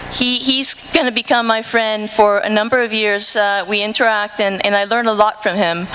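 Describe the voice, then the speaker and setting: A woman's voice: a little high, pushed and bright. Woman with a Dominican accent telling a story. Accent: Dominican Spanish, speaking English. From Dominican Republic, Cibao region. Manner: frequent "uh" fillers and restarts, earnest. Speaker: marine conservation researcher. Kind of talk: personal storytelling talk at a conference. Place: conference hall. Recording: hall reverb, faint room noise.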